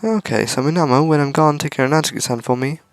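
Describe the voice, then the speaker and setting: A man voicing a gentle young robot girl from a visual novel, politely and wistfully. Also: on the high side, fast talker, no echo, natural voice. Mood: earnest, fond.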